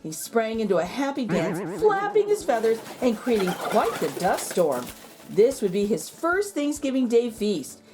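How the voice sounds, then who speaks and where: A woman storyteller reading a children's picture book aloud in an expressive narrating style. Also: on the high side, a little slow, over music.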